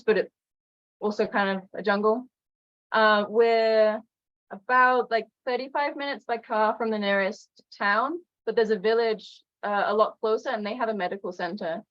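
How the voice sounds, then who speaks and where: A woman's voice: fairly high pitch, fairly bright tone. A woman talking casually about her community, easygoing and filling gaps with like and uh. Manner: easygoing, says like and uh often. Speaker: community resident. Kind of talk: casual chat on a video call. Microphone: video call. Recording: dull lo-fi call audio.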